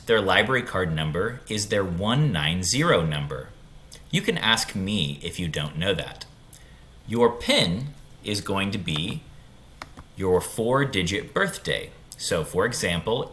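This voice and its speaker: A man with a deep voice walks young students through an on-screen tutorial step by step. His delivery is informal and instructional, with very lively intonation, over faint background noise. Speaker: school tech tutorial presenter.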